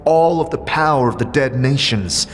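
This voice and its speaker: A man's voice: medium pitch, lively intonation, moderate pace, soft background music, voice pushed up, natural voice. A man voicing an immortal male wanderer who talks earnestly and asks pointed questions.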